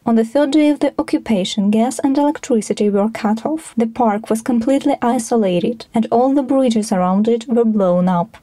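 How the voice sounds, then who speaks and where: A woman's voice: a little high, moderate pace, hardly any pauses, warm tone, no room sound. A woman reading scripted documentary narration for a podcast.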